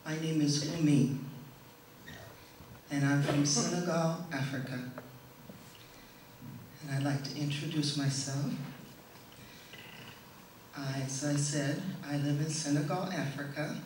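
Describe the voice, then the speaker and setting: A middle-aged woman giving a prepared testimonial speech to an audience in a measured, deliberate way. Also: sits low, steady tone, a little mellow. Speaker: speaker giving a presentation. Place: indoor stage in a hall, before an audience. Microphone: stand microphone, heard from a distant camera. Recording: echoey hall, distant camera, faint noise.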